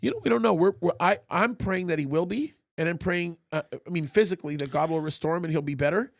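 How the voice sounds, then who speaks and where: A man's voice: fast, vocal fry, no echo, clean studio sound. A man hosting a live talk show as a pastor, arguing his points emphatically and often repeating words as he starts a thought. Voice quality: high-pitched voice.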